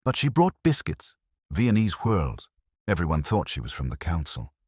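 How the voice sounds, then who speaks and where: A man's voice: low-pitched, wildly swooping pitch, normal speed, darkish tone, husky, no echo, clean studio sound, heavily acted. A man voicing a prim, cautious elderly female librarian with a precise, gossipy manner. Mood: prim, guarded.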